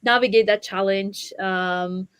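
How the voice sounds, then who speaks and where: Halting woman. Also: mid-range voice, fairly even intonation, fairly bright tone, smooth, no room sound. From Afghanistan and Central Asia. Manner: halting, repeats words as she goes. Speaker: conference guest speaker. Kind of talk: personal story in a panel interview.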